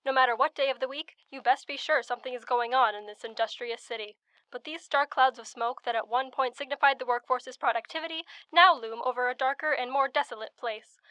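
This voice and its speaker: A woman's voice: high-pitched, sharp tone, smooth, dry recording. A woman playing a grave, dramatic old-time radio news correspondent, delivering scripted commentary in a solemn, oratorical way.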